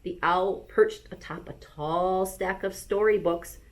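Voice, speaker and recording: A woman, a school librarian, reading a bedtime story aloud to young children in an expressive, animated manner. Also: fairly low pitch, slow pace.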